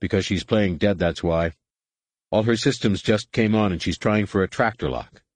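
A man plays a young space pilot in an audiobook adventure. He is steady and defiant, speaking firmly and curtly in a lowish voice. The recording is dry and close. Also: normal speed, no echo, lightly acted. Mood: urgent, curt.

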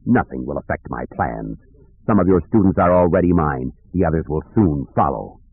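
A man voicing the mocking, blustering spirit of an ancient dark lord in an audiobook. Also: a bass voice, expressive, moderate pace, creaky, husky, no room sound, narrow, tinny audio, exaggerated voice. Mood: mocking menace. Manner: blustering, threatening.

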